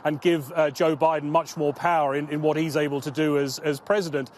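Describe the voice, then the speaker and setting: A man working as a news correspondent, giving a live explanatory report. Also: fairly high pitch. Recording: outdoor report with clear background noise.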